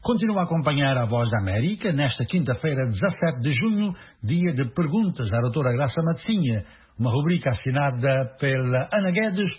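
A man anchoring radio news, reading in a crisp, formal announcing manner. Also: mid-range voice, very animated, fairly quick. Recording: dull radio broadcast with faint hiss.

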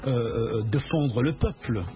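A man's voice: mid-range voice, a little slow, over music. A man interviewing a guest on politics, hesitant and searching for his words as he puts questions. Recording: dull lo-fi radio sound.

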